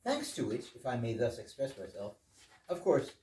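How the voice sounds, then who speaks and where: A man's voice: mid-range voice, wildly swooping pitch, moderate pace, a little mellow. A man plays a courteous, pedantic guest in an absurdist stage comedy. The speech is formal and swooping, heard far from the microphone with faint noise. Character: polite, pedantic English gentleman guest. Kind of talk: amateur readers theater, absurdist comedy. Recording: roomy, distant mic, faint noise.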